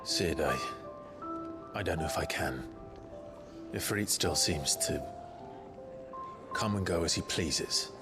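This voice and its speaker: Very deep-voiced, brooding warrior. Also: male voice, takes it slowly, pauses often. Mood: troubled. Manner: terse, hesitant, serious. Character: earnest, guarded young warrior, brooding man. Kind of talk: dramatic video game acting. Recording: loud music under the voice.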